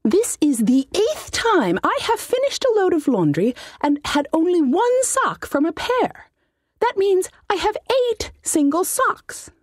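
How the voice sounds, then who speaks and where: A woman's voice: very high voice, big pitch swings, measured pace, no echo, clean studio sound, voice pushed up, heavily acted. A woman voicing an irascible, pompous old male halfling wizard in a comic fantasy audiobook, indignant and bossy. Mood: furious.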